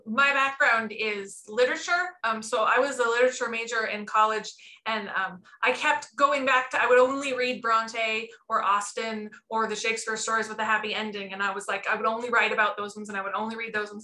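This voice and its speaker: A woman's voice: on the high side, steady tone, a little fast, few pauses, bright, forceful tone. A woman author telling a story animatedly, acting out quotes, over a video call. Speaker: romance author on a panel. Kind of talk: casual panel storytelling. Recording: echoey room on a video call.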